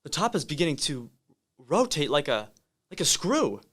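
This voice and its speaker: A man playing an excitable, awestruck radio reporter in a radio play, speaking in a dramatic, halting announcer style. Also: fairly high pitch, husky, exaggerated voice. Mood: excited.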